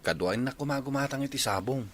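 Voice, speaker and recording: A man's voice: mid-range voice, fast talker, close to an everyday voice. A man playing a polite, persistent young suitor in an Ilocano radio comedy drama, with a Filipino accent. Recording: clear background noise and ambience.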